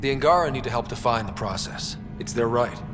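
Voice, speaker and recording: A young male explorer and leader in a sci-fi game, acted by a man, easygoing and wry. His mid-range voice rings in a large reverberant space with clear background noise. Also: fairly quick, natural voice. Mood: firm, earnest. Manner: casual, wry. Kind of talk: video game dialogue. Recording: noisy, echoing hall, ambient rumble.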